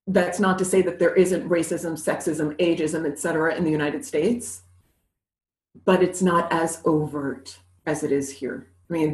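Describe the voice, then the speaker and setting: A woman from New City, talking candidly and with animation as an interview guest. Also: sits low. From New York City. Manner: candid, animated, laughs easily. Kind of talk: conversational interview. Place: living in Montreal. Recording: roomy echo.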